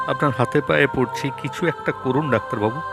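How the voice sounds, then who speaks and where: A man's voice: medium pitch, a little fast, vocal fry, close to an everyday voice. A man voicing an anxious, dutiful grown son in a cartoon, pleading and stammering. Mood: panicked, pleading. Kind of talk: cartoon voice acting. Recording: loud music behind the voice.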